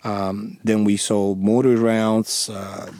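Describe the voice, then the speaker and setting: Dominican guy w thick spanish accent, low monotone, lots of restarts, dry sound. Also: no echo. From Dominican Republic. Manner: halting, frequent restarts and fillers, earnest. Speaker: interview guest, former police sergeant. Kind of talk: radio interview.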